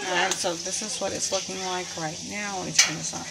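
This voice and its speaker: A woman's voice: lowish voice, steady tone, a little fast, vocal fry, very noisy. A woman chatting casually through a home cooking video, walking through each step with frequent ums. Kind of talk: casual cook-along narration. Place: home kitchen at the stove.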